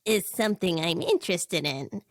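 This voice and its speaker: A woman voicing a tall, imperious male circus ringleader in an audio story, with a squeaky helium-like voice and a theatrical, smug manner. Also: no room sound, studio quality, close to an everyday voice. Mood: amused, calculating. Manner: slow, drawn-out, theatrical, smug. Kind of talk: fan fiction audio story character voice.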